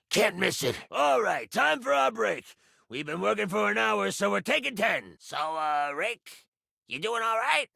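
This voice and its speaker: A grumpy talking trowel, a wisecracking blue-collar foreman, for a fantasy game. The voice is very gravelly and forceful, swinging up and down with slangy bluster. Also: high voice, dry recording, studio quality, full character voice.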